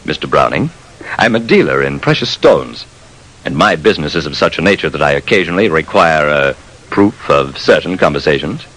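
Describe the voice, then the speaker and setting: An actor playing a suave, menacing criminal in old-time radio style, speaking smoothly and with calculation. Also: male voice, rumbling low, expressive, brisk, fairly bright tone, voice pushed down, full character voice, poor audio. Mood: smooth, guarded. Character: suave, menacing male gem-dealing hijacker. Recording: vintage radio broadcast sound.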